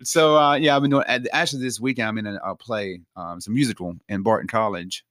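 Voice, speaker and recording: A man's voice: on the low side, quick pace, a bit bright, dry recording, clean studio sound. A man as a guest in a casual chat, easygoing, with many fillers.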